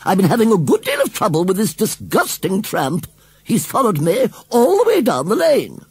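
A man's voice: sits high, wildly swooping pitch, normal speed, husky, dry recording, pitched above the performer's own voice, heavily acted. A man voicing a prim, haughty older village lady who speaks in a fussy, reproving way. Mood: indignant. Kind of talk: storybook reading.

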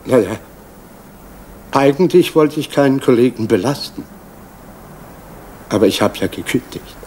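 A man's voice: mid-range voice, animated, a little slow, darkish tone, slight background noise, natural voice, rough recording. An actor playing a nervous, apologetic male postal clerk giving a statement in a radio crime drama. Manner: hesitant, apologetic.

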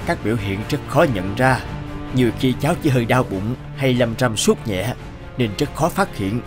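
Hospital doctor for animation, male actor: medium pitch, grave, formal, music bed. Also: animated, normal speed, lightly acted. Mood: grave, regretful. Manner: formal, serious. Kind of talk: cartoon dubbing. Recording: music behind.

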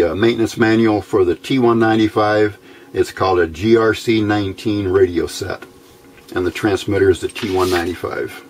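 A man giving an informal, unscripted hands-on tutorial in a plain-spoken, halting way, recorded indoors at a workbench on a camera mic. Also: fairly low pitch, darkish tone. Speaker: host of a hands-on electronics tutorial.

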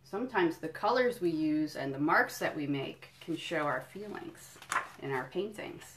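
A woman doing a children's storytime, reading a picture book in deliberate phrases and explaining things simply to young listeners. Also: deep voice, lively intonation, a bit bright, a little hiss or hum. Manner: addresses young listeners directly with questions and encouragement. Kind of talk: children's storytime read-aloud with friendly asides.

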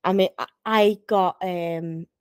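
A young woman with a Liverpool accent, co-hosting a podcast and chatting casually on a sofa into a boom mic. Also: steady tone, takes it slowly, darkish tone, smooth, no room sound, clean studio sound. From Merseyside, England. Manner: chatty, self-deprecating storyteller. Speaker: podcast co-host. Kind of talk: casual chat. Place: small wood-panelled room, on a sofa. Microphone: desk mic on boom arm.

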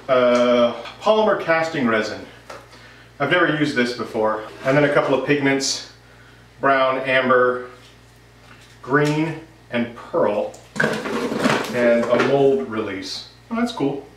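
A man's voice: mid-range voice, slow pace, long pauses. A man in his thirties giving a casual, rambling update to camera as the host of a woodworking vlog. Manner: rambling, conversational. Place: home workshop, indoors. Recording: roomy, distant, noisy workshop recording.

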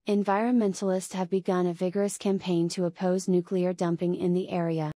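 A woman reads exam prompts in a dark, soft-edged voice that sits a little low. The recording is very dry. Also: little pitch movement, brisk, no echo. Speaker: test prompt reader. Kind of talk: scripted sentence reading for an English test.

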